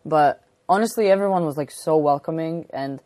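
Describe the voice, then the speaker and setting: A woman's voice: sits low, moderate pace, warm tone, no room sound. A young woman with a Croatian accent, a college squash player chatting as an interview guest, filling her talk with "like" and laughing easily. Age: young adult. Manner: chatty, heavy filler use of "like", laughs easily. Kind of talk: casual interview chat.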